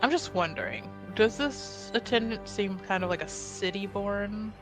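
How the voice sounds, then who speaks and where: A woman's voice: mid-range voice, animated, normal speed, over music. A woman chatting casually as a player in a tabletop roleplaying game.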